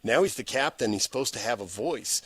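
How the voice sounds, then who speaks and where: A man's voice: mid-range voice, animated, brisk, fairly bright tone, husky, no echo. A man hosting a sports radio show, building long interview questions out of short, punchy phrases.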